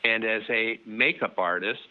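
A middle-aged man telling a family story as an interview guest, relaxed and full of fillers and restarts. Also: fairly low pitch, measured pace, narrow, tinny audio.

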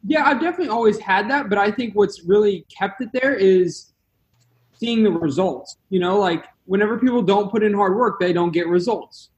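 A man in his twenties answers interview questions with earnest conviction. His voice sits high for a man, and he often stumbles on the first word of a sentence before pressing on. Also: moderate pace, lo-fi sound. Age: 20s. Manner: earnest, repeats words as he starts sentences. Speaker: podcast guest.

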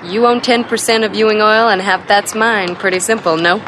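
A woman's voice: mid-range voice, steady tone, moderate pace, background noise, natural voice. An actress playing a cool, teasing, scheming woman in a television soap drama.

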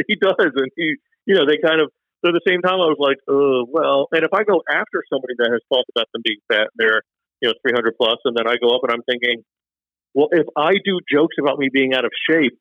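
A man's voice: mid-range voice, quick pace, no echo. A man, a stand-up comedian, chatting casually in a rambling, self-deprecating way with lots of fillers and restarts. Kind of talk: casual conversation. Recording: dull, dry, lo-fi sound.

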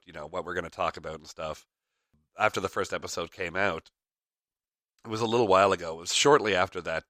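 Deep, bright-toned man, slightly raspy. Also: no echo, studio quality. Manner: rambling, frequent fillers, chuckles. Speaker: podcast host. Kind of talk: casual rambling chat.

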